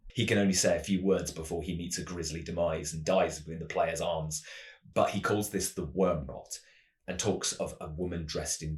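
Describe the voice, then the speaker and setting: A man narrating a fantasy tabletop adventure tutorial as a game master, with measured, dramatic storytelling phrasing. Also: low voice, echoey room. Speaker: host, game master giving adventure advice.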